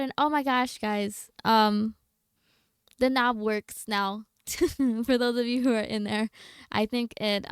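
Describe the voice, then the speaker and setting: A woman with a fairly high voice chats casually to her livestream viewers, taking her time between phrases. Her delivery is playful and slangy, full of teasing banter. The sound is close and dry, with very little room in it. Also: creaky, smooth, dry recording. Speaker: video game livestreamer.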